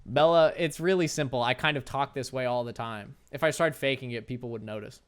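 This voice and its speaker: A man's voice: a little fast, clear, resonant tone. Laughing young man with a light voice. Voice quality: light, high-pitched voice. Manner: playful, self-mocking, laughs often. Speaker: streamer. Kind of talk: casual chat reading viewer messages while gaming.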